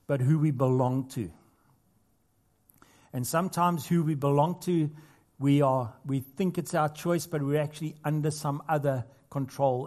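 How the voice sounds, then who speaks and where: A man's voice: a little high, darkish tone. A man preaching a sermon as a pastor, earnest, building to emphatic points with casual asides in between. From not Australia.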